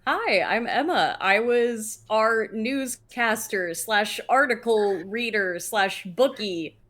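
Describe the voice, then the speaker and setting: A woman's voice: on the high side, animated, slow pace, fairly bright tone. A woman, a podcast host, casually introducing herself and plugging shows. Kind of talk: casual self-introduction and plugs.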